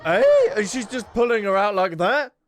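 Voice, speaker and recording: A man doing casual live commentary as a gaming streamer, excitable and given to repeating short phrases. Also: high voice, normal speed. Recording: game music behind the voice. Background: video game music.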